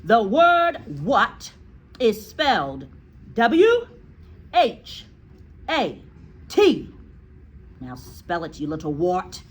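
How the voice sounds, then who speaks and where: A woman voicing a cruel, bullying headmistress in a storybook, loud, emphatic, scornful and gloating. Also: mid-range voice, wildly swooping pitch, very slow, long pauses, breathy edge, voice pushed up, exaggerated voice. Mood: shouting, bullying.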